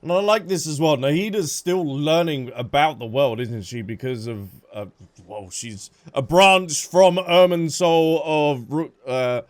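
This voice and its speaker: A man's voice: on the high side, measured pace, few pauses. A man giving casual gameplay commentary as a streamer, playful and chatty. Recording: game music behind.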